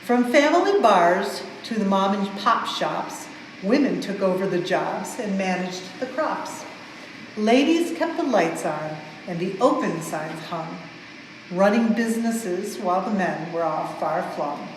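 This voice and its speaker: A woman reads a storybook aloud for children with expressive, measured emphasis on the rhymes. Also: mid-range voice. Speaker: museum staff member reading a story aloud. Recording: very echoey large hall, some noise.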